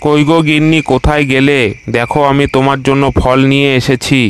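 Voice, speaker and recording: Cartoon crocodile, male, lazy, meek, mid-pitched and flat; man voicing. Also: brisk, slight background noise, close to an everyday voice. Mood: easygoing. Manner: easygoing and meek. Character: lazy, plump, soft-hearted male crocodile. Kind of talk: cartoon voice acting.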